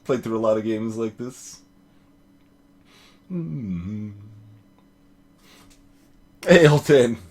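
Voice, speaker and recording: A man's voice: fairly low pitch, drawn-out words, long pauses, a little mellow. A man streaming a voiced game playthrough, chatting casually with his viewers over game music. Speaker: streamer doing a voiced playthrough of a visual novel. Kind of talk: casual live stream chat. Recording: music playing behind the voice.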